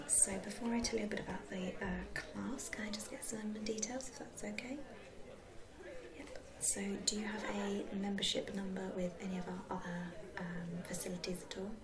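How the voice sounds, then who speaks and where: A woman playing a friendly, helpful sports centre receptionist in a soft, unhurried whispered ASMR roleplay. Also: medium pitch, lightly acted. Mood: helpful, reassuring. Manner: soft-spoken, whispering, unhurried, hesitant fillers.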